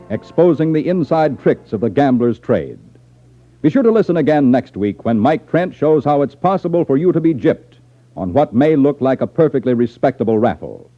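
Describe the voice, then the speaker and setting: Muffled 1950s American male radio announcer. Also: medium pitch.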